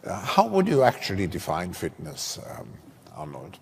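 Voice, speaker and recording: A man's voice: deep voice, wildly swooping pitch, moderate pace, gravelly. A man interviewing on stage, asking formal questions with some hesitation. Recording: long hall reverb.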